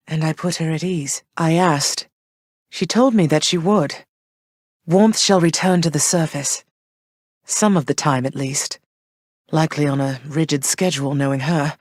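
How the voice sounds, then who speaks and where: A woman voicing a gentle, thoughtful goddess queen and mother for a video game, speaking in a soft, measured, slightly formal way. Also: low-pitched, no echo, clean studio sound. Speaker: voice actress for a video game character. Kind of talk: recorded game voice lines.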